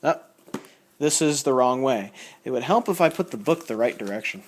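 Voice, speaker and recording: A man's voice: medium pitch, expressive, moderate pace. A man giving casual, unscripted show-and-tell commentary, rambling and thinking aloud as he reads labels out. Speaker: record collector showing a haul.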